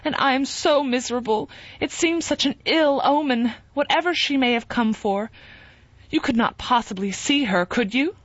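Vrouw speelt bange, trillende jonge vrouw. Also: female voice, high-pitched, moderate pace, no echo, lo-fi sound, pitched above the performer's own voice. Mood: anxious. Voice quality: quavering, pleading. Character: anxious, fragile young woman, easily upset. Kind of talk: audiobook character reading.

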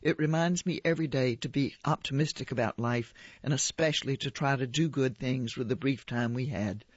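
A woman reading aloud as an older Albanian-born photographer recalling a sea rescue, in a plain steady way. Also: mid-range voice, fairly quick, dry recording, close to an everyday voice. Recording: dull lo-fi radio recording.

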